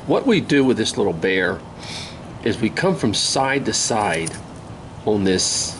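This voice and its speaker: Hoarse older man teaching whittling. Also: fairly low pitch. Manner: easygoing, folksy, unhurried, with many pauses. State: hoarse from a cold. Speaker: woodcarving instructor. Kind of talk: casual step-by-step demonstration. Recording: steady outdoor ambient noise.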